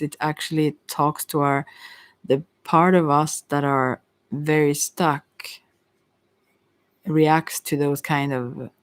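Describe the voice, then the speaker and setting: Medium-pitched voice, gentle ASMR advice, psychic reader, halting and rambling, consoling, dry recording. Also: no echo. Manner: halting, rambling, often self-correcting. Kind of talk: ASMR, unscripted spiritual advice.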